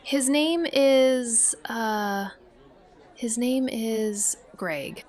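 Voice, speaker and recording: An actress playing an awkward, evasive young niece in a naturalistic audio drama, halting and flustered as she talks. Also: on the high side, a little mellow, some chatter behind. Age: young adult.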